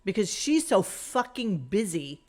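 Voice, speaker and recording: A woman's voice: medium pitch, very animated, measured pace, a little mellow. A woman hosting a talk show with casual, mocking commentary, sarcastic and exasperated, swearing and repeating phrases.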